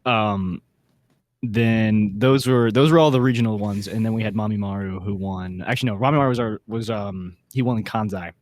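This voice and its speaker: A man's voice: on the low side, moderate pace, a little mellow, no room sound. A man co-hosting a podcast in casual, slangy, enthusiastic panel chat. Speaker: podcast host.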